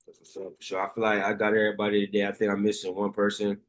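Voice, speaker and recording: A man's voice: lowish voice, monotone, rapid-fire. Laid-back young man hosting a call. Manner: laid-back slang, short affirmations. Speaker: session host. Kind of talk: casual video call chat. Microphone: video call.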